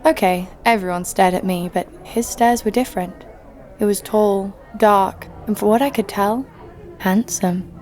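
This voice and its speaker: A woman's voice: medium pitch, fairly even intonation, measured pace, darkish tone, natural voice. An actress as brash, sardonic young woman adventurer over music. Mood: wry storytelling. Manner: sarcastic, blunt. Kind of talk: first-person audio drama narration.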